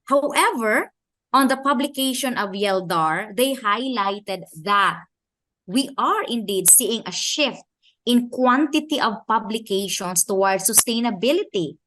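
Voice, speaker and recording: A woman from the Philippines with a Filipino accent, giving an academic conference talk in a measured way and slowing down to stress key phrases and questions. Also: fairly high pitch, lively intonation, fairly bright tone. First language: Filipino language. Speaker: conference speaker.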